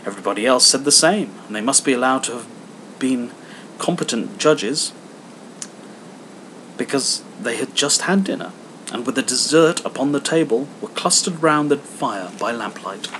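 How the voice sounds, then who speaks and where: Male audiobook narrator, bright forceful voice, expressive literary reading, slight background noise. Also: medium pitch, normal speed, poor audio. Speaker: audiobook reader.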